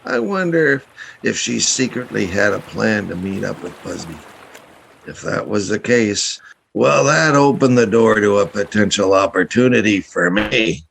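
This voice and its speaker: Man playing a gravelly, scheming boat captain. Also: on the low side, lively intonation, unhurried, a bit bright, slight background noise, exaggerated voice, poor audio. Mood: scheming, confiding. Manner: insinuating, mock-polite. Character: sly, scheming male boat captain, blackmailer. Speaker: radio play actor. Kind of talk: radio drama acting with confiding narration.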